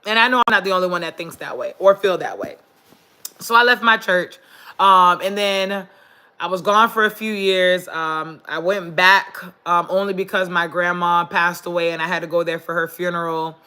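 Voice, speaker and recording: A woman vlogger chatting casually on a live stream, raspy and congested as she loses her voice, greeting viewers and using many fillers. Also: lowish voice, steady tone, normal speed, sharp tone. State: congested, losing voice.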